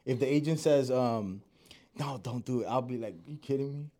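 A man, an actor, chatting as a podcast guest in a relaxed, rambling way. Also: mid-range voice, moderate pace. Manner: laid-back, rambling, many fillers. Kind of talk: casual chat.

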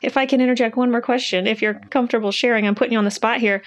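A woman's voice: fairly high pitch, steady tone, racing. A woman hosting an interview, asking polite follow-up questions in a friendly way. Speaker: interview host.

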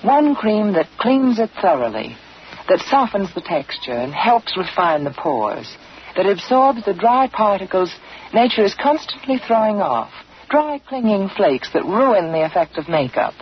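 A woman announcer reading a scripted radio commercial in a measured, persuasive way. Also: mid-range voice, sing-song. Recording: dull 1940s radio broadcast, faint hiss.

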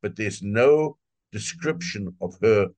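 A retired older man speaks in a somewhat low voice, wry and emphatic as he answers interview questions. He stacks up repeated phrases to drive his points home. The sound is dry with no room echo. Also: animated, takes it slowly, darkish tone. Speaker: retired translator, guest.

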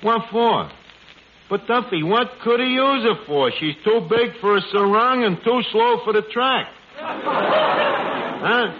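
A man's voice: very high-pitched, expressive, slow pace, long pauses, full character voice. An actor playing a cocky, working-class New bar manager who mangles his words with hesitant, slangy talk in a 1940s radio comedy. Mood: breezy, wry. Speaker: lead comic actor in a radio sitcom. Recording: muffled, noisy old radio broadcast, audience.

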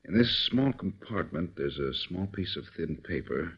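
An actor playing a calm, authoritative male government chief giving measured instructions in a 1940s radio drama. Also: low voice, slightly rough, full character voice. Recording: dull old radio recording.

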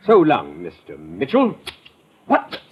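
Smug, menacing villain, noisy recording. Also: male voice, fairly low pitch, huge pitch swings, exaggerated voice. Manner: gloating, mock-polite, deliberate menace. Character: smug, menacing male traitor villain holding a gun. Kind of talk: 1950s radio adventure acting. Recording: noisy, dull old radio recording.

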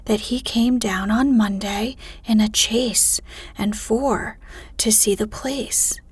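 A woman voicing a fussy, excitable middle-aged mother in a soft, breathy whisper during a bedtime storybook reading. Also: a little high, slow pace, no room sound, exaggerated voice.

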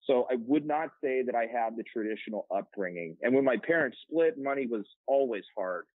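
A man's voice: medium pitch, animated, normal speed, no room sound. A young man telling his story as a podcast guest, candid and rambling with plenty of fillers. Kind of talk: casual interview storytelling. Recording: tinny phone-line sound, dry.

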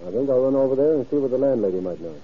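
An actor playing a brisk, businesslike police investigator in a vintage radio crime drama, asking clipped, casual questions. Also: male voice, medium pitch, expressive, natural voice. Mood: businesslike, inquiring. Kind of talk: 1940s-50s radio crime drama acting. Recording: dull vintage radio recording.

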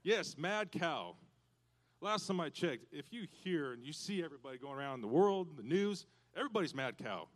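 Energetic preacher man, fairly high voice. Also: moderate pace. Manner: energetic, bursts into loud cowboy shouts. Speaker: church speaker addressing youth and parents. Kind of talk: lively hands-on sermon with props.

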